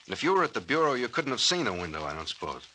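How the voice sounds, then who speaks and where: A gruff, skeptical captain from a vintage radio mystery. His voice is forceful and cutting, with blunt, probing delivery. The old recording carries a light hiss. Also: medium pitch, sing-song, rapid-fire, low-quality recording. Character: gruff, shrewd police captain questioning a suspect. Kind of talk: 1930s-40s radio drama acting.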